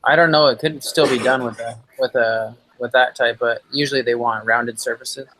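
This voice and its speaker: A man's voice: medium pitch, steady tone, normal speed, background noise. Male stream guest, casual, hesitant, restarts a lot. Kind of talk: casual conversation.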